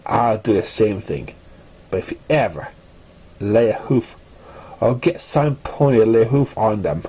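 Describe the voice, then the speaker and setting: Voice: mid-range voice, lively intonation, a little slow, mellow, husky. A narrator acting a brash, threatening young pony. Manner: threatening, insulting, cocky.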